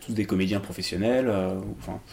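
Hesitant low-voiced English-born man. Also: quick pace, creaky, darkish tone. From England. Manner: hesitant, many fillers and restarts, laughs easily. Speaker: interview guest, improv actor. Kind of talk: casual interview talk.

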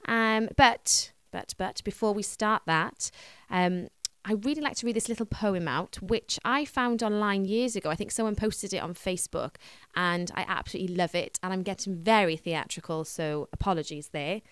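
A woman's voice: mid-range voice, normal speed, fairly bright tone, clean tone, no room sound. A woman with a Welsh accent hosting a radio show, chatting casually with fillers and restarts. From Wales.

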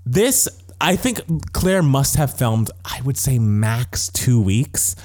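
A young American man co-hosting a casual pop culture chat, retelling things in a gossipy, animated way with acted-out quotes. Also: medium pitch, takes it slowly. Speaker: podcast co-host.

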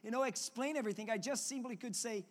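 A man's voice: very high-pitched, fast talker. A man with a Portuguese accent, a native Portuguese speaker, preaching a sermon earnestly and often restarting his words. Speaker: pastor. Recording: long hall reverb.

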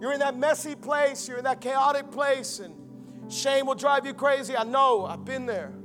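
A young man from Miami preaching a Christmas sermon, moving between rousing calls and quiet personal talk. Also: very high-pitched, normal speed, soft background music. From United States (Miami). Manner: rousing preaching, swinging from loud and fervent to quiet and intimate. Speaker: pastor.

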